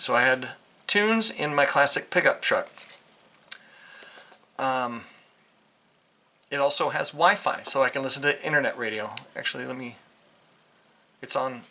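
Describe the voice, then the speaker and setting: A man's voice: mid-range voice, normal speed, pauses often, bright, forceful tone. An American man giving a casual, unscripted product review in a jokey, digressive way. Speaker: vlogger reviewing a radio. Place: indoors in a concrete-walled bunker.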